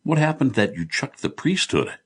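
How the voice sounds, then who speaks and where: Casting: male narrator as a chummy, confident architect and amused old pal in an audiobook; swooping intonation, slightly rough tone. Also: medium pitch, big pitch swings, normal speed, voice pushed up. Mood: amused, curious. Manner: agreeable, chummy, mildly amused.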